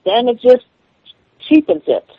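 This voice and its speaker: A man speaking defensively on a phone call, halting and repeating himself. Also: extremely high pitch, sing-song, dry recording. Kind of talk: phone conversation, answering challenges. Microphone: telephone call. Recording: dull lo-fi phone call recording.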